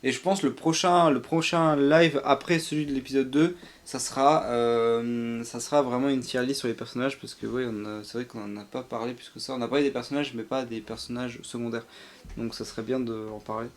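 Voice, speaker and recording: Young guy streamer, normal pitch, kinda rambly and unsure, just chatting. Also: fairly even intonation, fairly quick. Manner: hesitant, rambling, thinking aloud. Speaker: streamer, co-host. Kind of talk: casual live stream chat.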